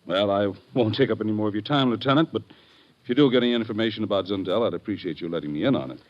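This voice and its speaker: An actor playing a chatty, probing American newspaper correspondent in an old radio drama, casual and colloquial. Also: male voice, on the low side, very fast, close to an everyday voice. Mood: breezy, probing. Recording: dull vintage radio recording.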